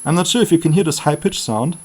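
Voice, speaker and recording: A man with a moderately high-pitched voice presents a hands-on electronics demonstration. He speaks without a script, as a hobbyist would at the workbench. His remarks come in short, halting pieces as he thinks aloud. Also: expressive, a little mellow, slightly rough.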